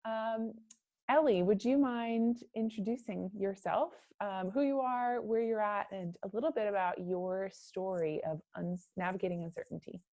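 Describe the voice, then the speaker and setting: A woman's voice: mid-range voice, moderate pace, mellow, no echo. Dry-sounding woman moderating a panel. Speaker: host, career center manager. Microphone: video call.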